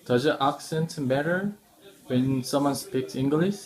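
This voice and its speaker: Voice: medium pitch, unhurried, low-quality recording. Interviewer asking short set questions. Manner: brief, repeats set questions.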